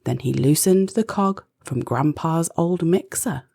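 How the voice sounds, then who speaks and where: A woman narrating a rhyming children's storybook expressively, with deliberate, dramatic phrasing. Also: low-pitched, creaky, warm tone, no room sound, studio quality.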